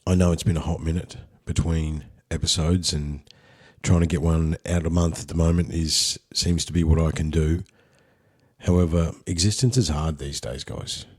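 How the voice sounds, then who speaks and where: Very deep husky Australian man. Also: fairly even intonation, moderate pace, darkish tone. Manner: laid-back, candid, chatty. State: unwell. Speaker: podcast host. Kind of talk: solo spoken intro.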